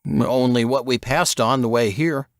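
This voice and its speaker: Male reader as rookie agent in an audiobook: raised pitch, earnest, nervous, tentative. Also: unhurried, no echo, studio quality, close to an everyday voice. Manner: hesitant, tentative. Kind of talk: audiobook character dialogue.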